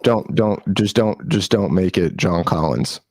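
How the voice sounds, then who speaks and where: A man co-hosting a podcast, talking sports and teasing his friends in slangy, animated casual chat. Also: low-pitched, measured pace, no room sound. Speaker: podcast co-host.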